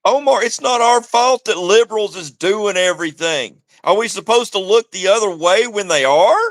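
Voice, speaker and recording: A man's voice: high-pitched, expressive, normal speed, fairly bright tone, no room sound. A man calling into a livestream, taunting the host in a heated political argument. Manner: taunting, repeats the host's name. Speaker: caller on a livestream.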